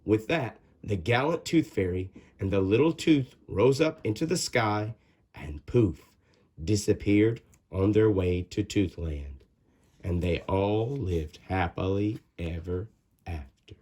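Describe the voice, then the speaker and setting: Slow, animated man reading a children's story. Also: fairly low pitch, husky. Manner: expressive, dramatic pauses and drawn-out words. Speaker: storybook reader. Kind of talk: animated children's read-aloud narration.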